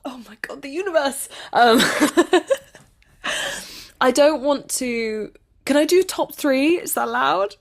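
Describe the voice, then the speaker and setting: A woman's voice: high-pitched, takes it slowly, gravelly. Chatty, playful woman with dramatic flair. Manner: animated, jokey, mock-dramatic storytelling. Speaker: interviewed actor. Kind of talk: casual chat.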